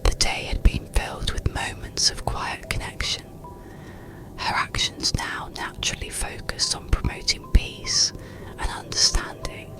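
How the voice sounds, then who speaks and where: A narrator telling a bedtime story in a slow, soothing, breathy ASMR whisper. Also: rough recording. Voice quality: breathy, unvoiced whisper with creak.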